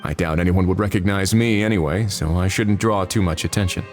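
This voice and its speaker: A male actor playing a calm, analytical investigator in a game, measured and composed. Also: sits low, natural voice. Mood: composed, advising. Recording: reverberant, music behind the voice.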